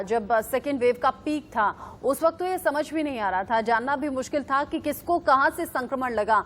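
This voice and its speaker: A female news anchor with a high voice hosts a health segment. She speaks clearly and steadily, with a faint hum of studio ambience behind her. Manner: clear, measured questioning with frequent back-channel hums. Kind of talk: TV health show hosting.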